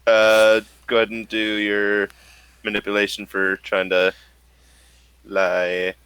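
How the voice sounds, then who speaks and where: A man running a tabletop game as game master, hesitant and unhurried. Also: fairly low pitch, completely flat delivery, a bit bright, clean tone, no room sound, rough recording.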